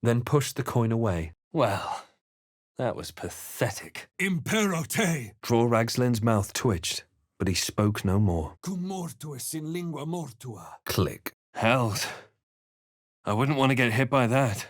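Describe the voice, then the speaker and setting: Moody fantasy game hero, wry n haunted, dramatic measured delivery, lively pitch, dry studio sound. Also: male voice, no room sound. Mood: wry, wary, then intense incantation. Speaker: video game player-character voice actor. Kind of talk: dramatic first-person fantasy game narration and voice lines.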